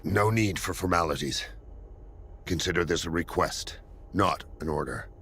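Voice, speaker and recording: An actor playing a firm, purposeful military colonel in a video game cutscene, speaking deliberately and with authority. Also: male voice, sits low, gravelly, fairly bright tone, slight background noise. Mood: quieter, solemn.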